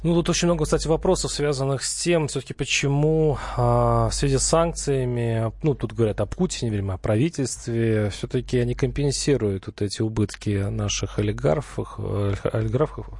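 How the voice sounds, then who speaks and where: A man's voice: mid-range voice, fairly quick, few pauses, a little mellow, no echo, clean studio sound. Male radio host with hesitant questions. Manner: questioning, hesitant, with many fillers. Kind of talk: talk radio hosting, taking calls. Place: radio studio.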